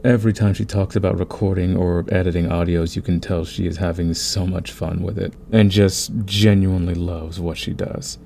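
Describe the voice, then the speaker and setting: Male creator-host, low gravelly voice, casual thank-you outro, slight background noise. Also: fairly even intonation, moderate pace, hardly any pauses, mellow. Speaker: audio roleplay creator, host.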